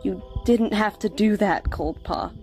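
An eager young forest cat from a comic dub, acted by a woman. The medium-pitched voice is earnest and urgent, with faint music behind it. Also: unhurried, a little mellow, natural voice. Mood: firm, calm. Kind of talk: comic dub character acting.

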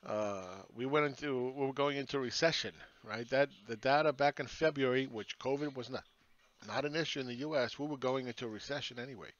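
A man's voice: medium pitch, a little fast, no room sound, muffled recording. A man hosting his own channel, ranting sarcastically over charts and firing rhetorical questions at critics.

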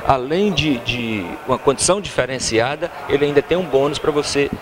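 A man's voice: mid-range voice, a little fast, noisy background. A middle-aged Brazilian man giving an informal promotional sales talk as a car dealership salesman. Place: large car showroom.

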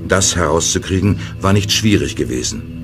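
A man narrating a radio play in a calm, measured storytelling manner. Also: deep voice, over music.

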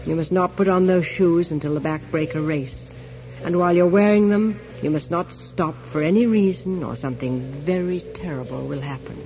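A man acts a sly, mystical shopkeeper in a radio play, trading in souls. The voice is rather high and mellow. Each offer comes out as insinuating, theatrical salesmanship, with music behind it. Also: normal speed, close to an everyday voice, rough recording. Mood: warning. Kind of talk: radio drama acting.

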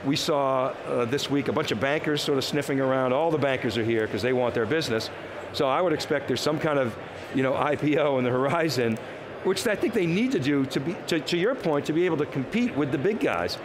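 Older male tech analyst, live show-floor chat, thinks aloud with restarts, lapel mic, steady mid pitch, hum of a busy hall behind. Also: fairly quick. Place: TV set on a busy convention floor.

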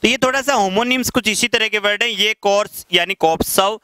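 A man in his thirties with a Rajasthani accent, teaching English vocabulary in a classroom lecture and slowing down to read out English example sentences. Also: sits high, sharp tone, clean tone, no room sound. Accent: Rajasthani Hindi. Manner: explains patiently, slows down to read English example sentences aloud. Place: classroom in front of a whiteboard. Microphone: headset mic.

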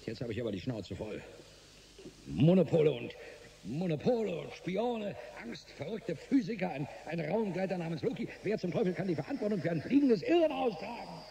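An actor playing a gruff, exasperated spaceship captain in a German science-fiction radio drama, curt and sardonic. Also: male voice, high voice, very animated, moderate pace, mellow, heavily acted.

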